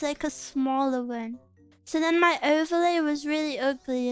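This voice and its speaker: A young woman streamer chatting casually with her viewers, self-conscious and giggly, with a scratchy throat she keeps clearing. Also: high voice. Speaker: VTuber streamer. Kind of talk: casual stream chat, reading chat aloud.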